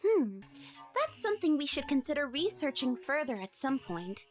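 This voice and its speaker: A woman voicing a bossy, unfazed little-girl scientist in an audio drama, teasing and brisk. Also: high-pitched, sing-song, music in the background. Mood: businesslike. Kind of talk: fan audio drama acting.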